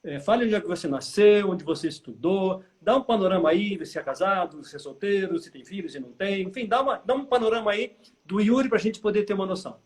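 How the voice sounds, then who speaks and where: High-voiced chatty host. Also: male voice, quick pace. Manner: chatty, prompting, tag questions like "tá?". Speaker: interview host, former teacher. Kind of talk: live-stream interview questions.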